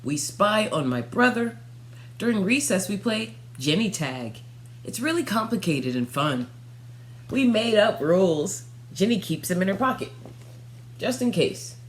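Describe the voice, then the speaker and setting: A young woman reading a children's storybook aloud, narrating expressively in the first person with dramatic pauses. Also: mid-range voice, sing-song. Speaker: storybook reader. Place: small furnished room at home.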